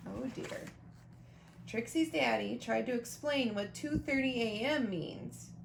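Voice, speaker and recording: A woman reading a children's picture book aloud expressively, pausing to talk directly to young listeners about the pictures. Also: a little high, creaky. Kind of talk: animated children's storybook read-aloud with asides. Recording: roomy echo, faint background noise.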